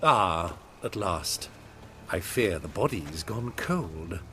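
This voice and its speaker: Smug crime boss, bright sharp voice, unhurried, sardonic, mock-polite, menacing. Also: male voice, sits low, animated, soft background music, heavily acted. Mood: smug menace. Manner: mannered, sardonic, formal phrasing. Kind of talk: video game villain acting.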